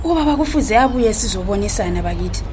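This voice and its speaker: A woman's voice: mid-range voice, expressive, fast talker, darkish tone, slight background noise, close to an everyday voice. A woman with a Zimbabwean accent playing a worried, polite, burdened wife and mother in a radio drama. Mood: worried, complaining. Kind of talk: radio drama acting in Ndebele.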